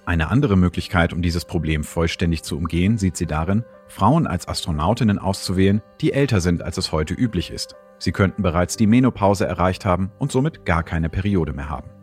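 A man's voice: low-pitched, animated, fairly quick, few pauses, a little mellow. A man reading scripted documentary narration as the host. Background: background music.

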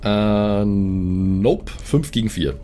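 A man's voice: lowish voice, extremely slow, dark tone. A man talking as a player in an improvised role-playing game, hesitating and repeating words as he thinks aloud. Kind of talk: improvised in-character table talk. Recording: music behind the voice. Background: music.

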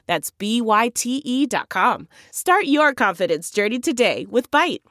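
A woman's voice: fairly high pitch, big pitch swings, a little slow, a bit bright, no room sound, clean studio sound. A woman announcer delivering a scripted commercial. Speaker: advertisement announcer.

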